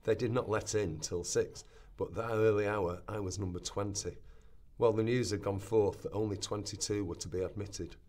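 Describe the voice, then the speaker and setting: A man's voice: fairly low pitch, fairly even intonation, moderate pace, a little mellow. A man giving a scripted reading of literary and historical passages in a radio play rehearsal. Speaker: reader of quoted historical accounts.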